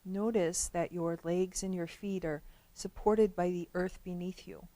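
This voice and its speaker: A woman leading a guided relaxation as a meditation instructor, speaking gently and without hurry, with long pauses between phrases. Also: on the low side, fairly even intonation, no room sound.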